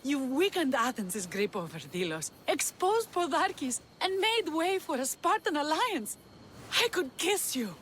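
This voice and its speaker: A woman's voice: high voice, big pitch swings, a little slow, slight background noise, full character voice. An actress playing a devout, teasing young female Greek rebel leader in a video game, with an earnest manner. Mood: elated. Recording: loud music behind the voice. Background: music.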